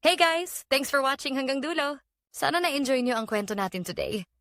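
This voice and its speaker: A woman's voice: high voice, normal speed, a bit bright, dry recording, clean studio sound. A woman channel host reading a scripted outro to the listeners.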